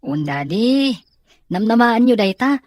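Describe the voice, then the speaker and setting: A woman's voice: on the high side, sing-song, a little slow, a little mellow, no room sound. A woman playing a dutiful, kind young daughter in an audio drama, earnest and reassuring. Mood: concerned, reassuring. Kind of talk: radio drama acting.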